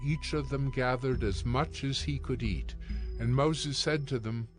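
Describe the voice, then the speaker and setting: A man's voice: medium pitch, unhurried. Male scripture narrator over music.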